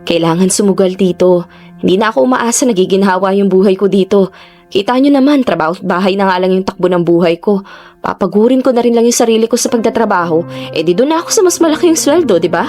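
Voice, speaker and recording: A woman's voice: mid-range voice, lively intonation, fast talker, darkish tone, faint music behind, natural voice. A woman playing a hardworking, determined young Filipino niece in a Tagalog radio drama, with a Filipino accent. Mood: earnest, resigned.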